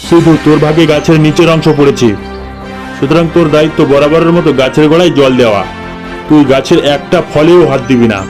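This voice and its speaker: A man acts a dishonest elder brother in a village cartoon. His voice sits a little high, and he talks in a bossy, scheming way. Soft music runs underneath. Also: fast talker, close to an everyday voice. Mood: threatening.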